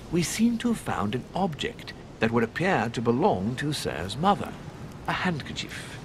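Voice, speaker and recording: A ceremonious manor servant in a game cutscene. His medium-pitched voice swings in animated intonation, obsequious and formal. Rumbling noise sits clearly behind him. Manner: obsequious, formal, addresses listener in third person. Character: deferential, ceremonious manor servant, male, eager to reassure. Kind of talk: video game cutscene acting. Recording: constant rumbling background noise.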